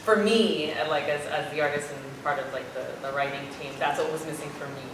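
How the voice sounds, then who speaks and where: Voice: medium pitch, steady tone, brisk. An artist and co-writer explaining things conversationally in an informal post-show discussion. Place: large echoey indoor arts venue. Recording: very echoey hall, distant mic, noisy.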